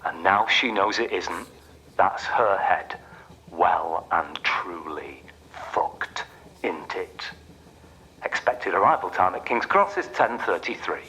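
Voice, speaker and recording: An actor playing a sardonic train guard in a radio play, giving mock-official announcements laced with taunts. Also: male voice, sits low, expressive, slow pace, pushed and bright, a little hiss or hum, full character voice, low-quality recording. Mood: cruel, hushed. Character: sardonic male train guard, mockingly needling a passenger.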